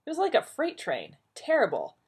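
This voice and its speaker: A woman's voice: high-pitched, wildly swooping pitch, normal speed, voice pushed up, heavily acted. A woman voicing a neurotic, irritable male doctor who complains in an exasperated, whiny way.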